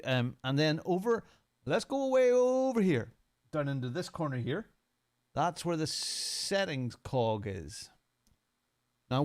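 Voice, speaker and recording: Northern irish guy in his 50s, chatty casual gaming commentary, unscripted and informal. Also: fairly high pitch. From Northern Ireland. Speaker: sim racing streamer and community head. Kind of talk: casual unscripted first-look game commentary.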